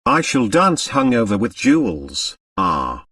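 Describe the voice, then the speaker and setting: A seductive, grasping female dancer put on by a male reader murmurs with languid theatrical self-regard. The pitch sweeps about widely, captured dry and a bit distant. She belongs to storybook drama. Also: big pitch swings, slow pace, a bit bright, no echo, full character voice. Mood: languid, coaxing.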